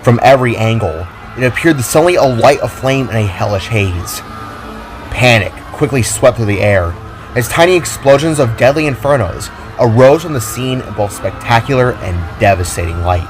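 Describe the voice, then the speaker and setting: A man narrating an action story as a dramatic reading, with expressive delivery. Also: mid-range voice, moderate pace. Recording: music under the reading.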